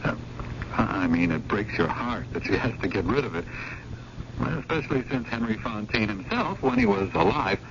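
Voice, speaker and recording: An actor playing a nervous, eager, scheming younger brother who talks in hesitant, roundabout rambles in a radio drama. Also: male voice, lowish voice, sing-song, moderate pace. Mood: nervous, hedging. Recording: lo-fi old radio recording with clear noise.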